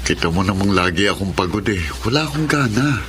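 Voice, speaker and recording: A man's voice: lowish voice, lively intonation, a little fast, lightly acted. A man acting in a radio drama as an irritable, evasive young husband, curt and dismissive, with a Filipino accent. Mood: weary, irritated. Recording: clear background noise.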